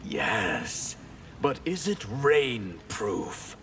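Smug water mage, lowish voice, really slow, game villain style. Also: male voice, big pitch swings, a bit bright, soft background music, heavily acted. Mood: menacing, smug. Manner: deliberate, arrogant. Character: menacing, haughty male water sorcerer. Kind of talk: dramatic video game dialogue.

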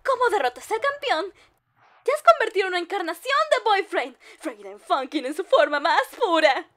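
A woman's voice: very high-pitched, animated, normal speed, fairly bright tone. A woman voicing a sweet, teasing young girlfriend character in a cartoon fandub. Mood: amazed, sweet.

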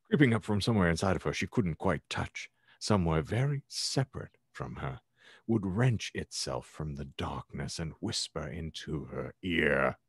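A man's voice: extremely low pitch, animated, moderate pace, husky, dry recording. A man reading fan fiction dramatically as a podcast host, in a theatrical manner, close to the microphone at home. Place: home room, on a video call.

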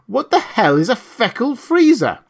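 A man's voice: on the high side, sing-song, normal speed. A man playing a startled, indignant husband in an audiobook, exclaiming in bitter disbelief. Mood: baffled shouting. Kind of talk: dramatised audiobook.

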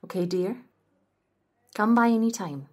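A man's voice: high voice, lively intonation, unhurried, a little mellow. A man voicing a kindly, shrewd older café owner with a British accent who calls everyone love and dear. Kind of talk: audio roleplay acting.

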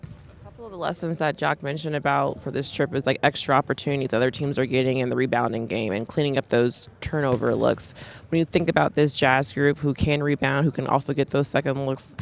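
A male reporter asking a basketball player steady, wordy questions. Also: medium pitch, brisk, vocal fry, slight background noise. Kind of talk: press question.

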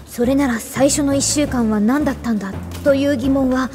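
Woman as a young anime researcher, high voice, dry and prickly, music behind. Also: normal speed, lightly acted. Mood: dry, polite. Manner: polite dry narration, snappy retorts. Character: young female researcher, dry, prickly, denying her fear. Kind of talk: anime audio drama acting.

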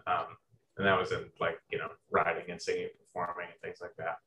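Young man from Indiana, low voice, halting guest, many restarts, faint room hum behind. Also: monotone, pushed and bright. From Southern Indiana. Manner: hesitant, many restarts and fillers. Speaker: guest composer. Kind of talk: casual interview.

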